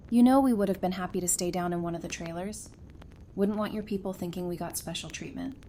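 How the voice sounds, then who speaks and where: A woman's voice: lowish voice, brisk, dark tone, a little hiss or hum, natural voice. A woman playing an easygoing, friendly and sincere survivor in an audio drama. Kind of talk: fan audio drama acting.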